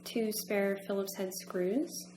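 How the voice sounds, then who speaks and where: A woman's voice: medium pitch, fairly even intonation, slow, darkish tone. A woman narrating an instructional demonstration in a methodical, step-by-step manner. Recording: roomy echo, faint background hum.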